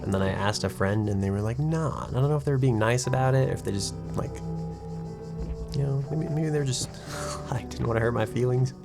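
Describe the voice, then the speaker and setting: A man streaming a puzzle game. He talks fast and low-key, with plenty of "like" fillers and a somewhat nasal voice, stumbling over words now and then. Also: vocal fry, over music. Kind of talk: casual gaming commentary, reading game text aloud. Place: home room with a desk.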